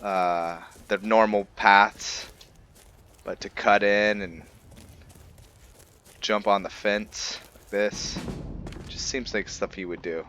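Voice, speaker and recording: A man's voice: on the low side, steady tone, takes it slowly, long pauses, fairly bright tone, a little hiss or hum. A man giving casual commentary as he plays a video game, musing aloud in a laid-back way. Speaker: host of a video game roleplay series. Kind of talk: casual let's-play commentary.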